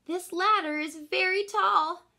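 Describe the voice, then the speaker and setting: A woman's voice: very high voice, unhurried, gravelly, pushed and bright, voice pushed up, exaggerated voice. A woman voicing an eager young boy in a children's storybook, nervous but boasting with earnest bravado. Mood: nervous excuses.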